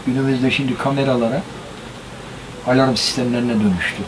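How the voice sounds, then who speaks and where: A man's voice: medium pitch, fairly even intonation, fairly quick, noisy background. An older man, a gramophone repairer, talking thoughtfully about old times in a small cluttered workshop. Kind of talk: reflective interview talk.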